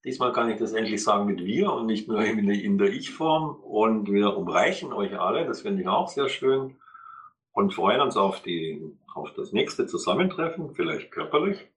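Man, host, rambling closing words, low bright voice. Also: animated, moderate pace. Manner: rambling, laughs between phrases. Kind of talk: closing remarks to an audience.

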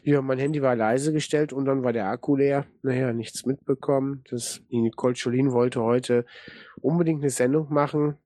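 A man's voice: mid-range voice, fairly even intonation, moderate pace, mellow, no room sound, studio quality. A man hosting a live online radio show in a casual, rambling way, thanking his guests and listeners. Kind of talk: casual live broadcast chat.

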